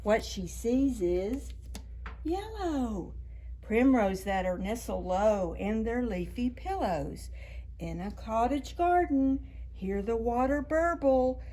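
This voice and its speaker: A woman with a fairly high voice reads picture books aloud for preschoolers. She takes her time, speaking slowly and deliberately. Key words get stretched and stressed in an expressive storytime delivery. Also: a little hiss or hum. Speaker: children's librarian, storytime host.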